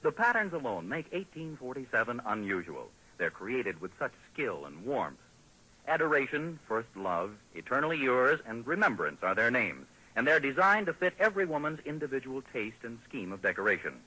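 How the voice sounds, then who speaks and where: Bright, husky 1940s American radio announcer man. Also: mid-range voice, sing-song, moderate pace, poor audio. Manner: polished, salesmanlike delivery. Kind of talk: 1940s radio announcing and sponsor pitch, scripted. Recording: vintage 1940s radio broadcast.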